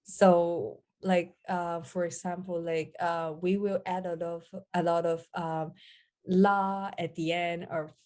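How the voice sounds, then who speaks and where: A woman's voice: lowish voice, flat delivery, slow pace, a little mellow. A woman from Hong Kong with a Hong Kong English accent, a Cantonese speaker, answering hesitantly with many fillers. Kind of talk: casual interview answer.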